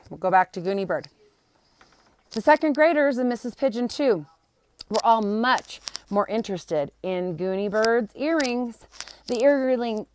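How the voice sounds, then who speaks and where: A woman's voice: mid-range voice, a little mellow, dry recording. A woman reading a children's book aloud, expressively acting out the characters and adding short explanatory asides. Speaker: storybook reader.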